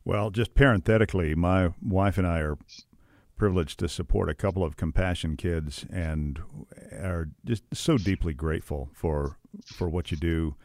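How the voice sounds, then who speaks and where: A man with an extremely deep voice speaks gratefully in an unhurried way. He sounds close to the mic, and the room is dry. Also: darkish tone, dry recording. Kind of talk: conversational remarks.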